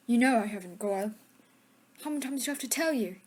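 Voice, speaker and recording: Female actor as spiteful schoolboy wizard bully for audio drama: high-ish, sneering, arrogant. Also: animated, fast talker, husky. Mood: smug, conspiratorial. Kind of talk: fan audio drama acting.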